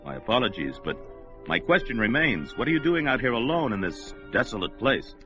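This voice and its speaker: A bold young barbarian hero. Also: male voice, mid-range voice, big pitch swings, a little fast, a bit bright. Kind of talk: 1940s radio acting. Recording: dull old radio recording with music.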